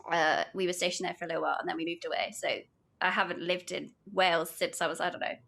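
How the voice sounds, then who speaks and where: A woman's voice: lowish voice, little pitch movement, quick pace, gravelly, a bit bright. A young British woman with a British accent, a podcast guest chatting casually and rambling with giggles. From United Kingdom. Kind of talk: casual podcast chat, reading aloud.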